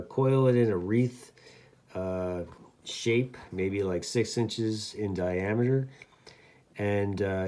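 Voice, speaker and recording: A man with a low, mellow voice gives a casual hands-on demonstration. He rambles along in an easygoing way, often halting as he finds the next detail. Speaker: amateur radio hobbyist demonstrating. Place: indoors at a radio desk.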